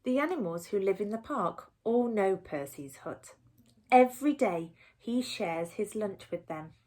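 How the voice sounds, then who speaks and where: A woman reading a picture book aloud for young children in an expressive, deliberate storytelling way. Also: mid-range voice, a little hiss or hum. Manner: animated, deliberate, with asides to the listeners.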